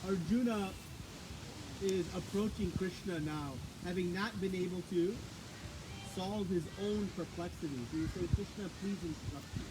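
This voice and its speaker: Man, high voice, scripture class, outdoor microphone, loud wind noise. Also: moderate pace. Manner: conversational, asks the audience questions. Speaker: spiritual teacher. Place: outdoors, windy. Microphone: battery microphone, cutting in and out.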